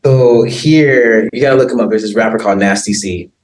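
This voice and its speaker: An American man chatting casually as an interview guest, laughing often and filling pauses with ums and likes. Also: lowish voice, a little mellow, reverberant. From United States. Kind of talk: casual conversation.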